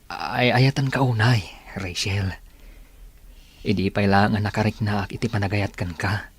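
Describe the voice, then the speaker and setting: An actor playing an earnest, tender man in love in a radio drama, with an Ilocano accent and a slightly husky voice. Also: lowish voice, steady tone, measured pace. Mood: tender, pleading. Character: Marvin, earnest lovestruck male suitor, tender and pleading.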